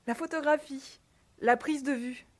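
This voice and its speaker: Polite, terse young german film student, higher voice. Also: female voice, steady tone, unhurried. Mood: reserved. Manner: brief, polite, matter-of-fact. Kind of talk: film drama acting.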